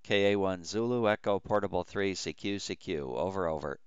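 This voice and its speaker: A man who works as an amateur radio operator, talking casually in a halting, matter-of-fact way as he demonstrates his station and makes radio calls. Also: highs cut off. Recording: loud radio noise, vehicle-like rumble.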